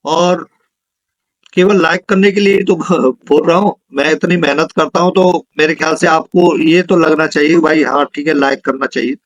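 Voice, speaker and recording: A man teaches in a high-pitched voice, taking exam questions one at a time. He is instructive and repeats each question and answer for the learners. The recording is close and dry, with very little room sound. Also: steady tone, normal speed, no echo, highs cut off. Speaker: physics teacher for exam preparation.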